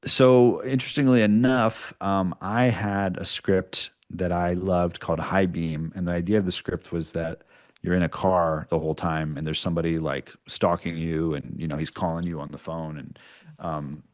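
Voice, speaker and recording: A man's voice: low voice, flat delivery, normal speed. A man speaking as an interview guest, explaining his work in an informal, conversational way. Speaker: podcast producer, interview guest. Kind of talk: casual explanatory interview talk.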